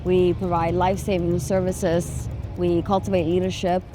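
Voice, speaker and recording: A woman's voice: lowish voice, flat delivery, normal speed, music in the background. A woman from Somalia giving earnest answers as an interview guest about her work in advocacy. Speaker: interview guest, peace organisation executive.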